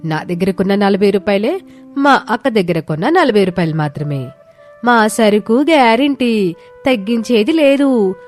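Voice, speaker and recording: Woman acting young daughter-in-law, earnest, spirited, emphatic, medium pitch, village story drama, faint music. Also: animated, normal speed, natural voice. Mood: firm selling. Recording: music behind the voice.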